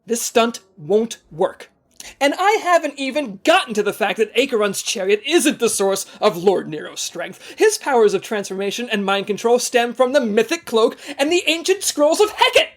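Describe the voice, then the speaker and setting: An actor playing a fussy, sarcastic, exasperated big-brother secret agent with a precise way of talking, in a comedy audio drama. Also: male voice, extremely high pitch, sing-song, normal speed. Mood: fussy, alarmed.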